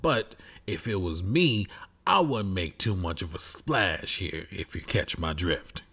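Man playing a husky, sly henchman. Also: low voice, animated, takes it slowly, bright, forceful tone, exaggerated voice. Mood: smug menace. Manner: smug, veiled menace. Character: sly, threatening henchman loyal to his boss.

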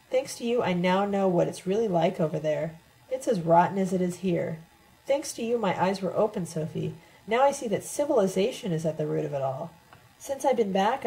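A woman's voice: mid-range voice, mellow, close to an everyday voice, rough recording. A woman voicing an embittered, scarred man in an audiobook, accusing and earnestly speechifying.

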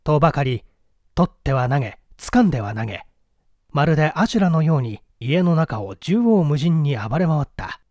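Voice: medium pitch, big pitch swings, moderate pace, darkish tone, dry recording, clean studio sound. A narrator reading a mystery story aloud in a dramatic scripted style.

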